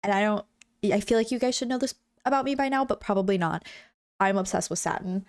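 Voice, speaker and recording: A young woman talks to her live viewers from home in a bubbly, rambling way, stringing thoughts together with "like" and "um". Her voice sits at an ordinary middle pitch and sounds a little congested. She speaks into a desk mic. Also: quick pace. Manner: bubbly, chatty, lots of "like" and "um". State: a bit congested. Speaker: streamer. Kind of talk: casual chat with live chat.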